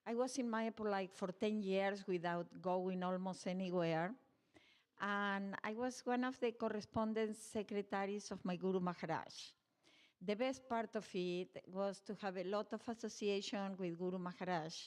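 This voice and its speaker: A woman, sharing fond memories of her spiritual teacher in a heartfelt speech at a temple celebration, with some hesitations. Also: medium pitch. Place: temple hall.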